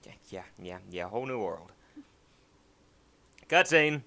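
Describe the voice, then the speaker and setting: Bright-voiced man rambling through gameplay. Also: lowish voice, animated, a little slow, slight background noise. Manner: rambling, joking, repeats words. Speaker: gaming channel host. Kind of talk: casual let's play commentary.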